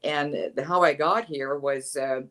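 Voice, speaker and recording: A woman's voice: low-pitched, fairly even intonation, measured pace, fairly bright tone. A woman in her sixties, a guest author in an interview, speaking thoughtfully and hesitantly with many fillers. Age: 60s. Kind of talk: interview answers.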